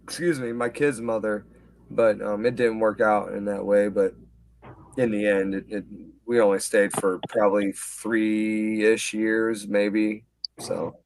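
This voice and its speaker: A man's voice: fairly low pitch, level tone, takes it slowly, creaky, a little hiss or hum, poor audio. A man in his late thirties hosting a casual talk stream, laid-back and swearing freely, calling everyone dude. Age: 30s. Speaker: host of a live talk stream. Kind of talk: casual chat.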